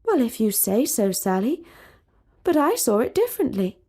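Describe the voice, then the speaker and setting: A woman's voice: fairly high pitch, big pitch swings, normal speed, dark tone. A woman voicing a polite young princess who seems to come from another age, speaking in a prim, formal way. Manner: prim, formal, old-fashioned.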